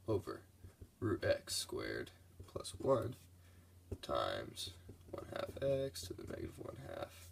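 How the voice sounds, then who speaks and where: A man's voice: low voice, gravelly, a little hiss or hum. Congested young man explaining calculus. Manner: laid-back, loose and casual, with many fillers. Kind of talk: casual math lesson voice-over.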